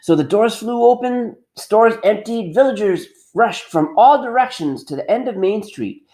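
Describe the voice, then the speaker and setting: A man reading a storybook aloud as a teacher, expressive and pausing to put questions to pupils. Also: high-pitched, rough recording.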